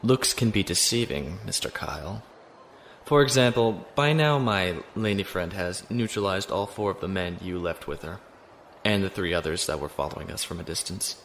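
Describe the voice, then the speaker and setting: An actor in a science fiction audio drama playing a composed noble lieutenant with a polite, dry, formal manner. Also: male voice, low voice, moderate pace, a bit bright. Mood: dry, confident. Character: composed, courteous noble space-navy lieutenant on the run. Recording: noisy ambient background.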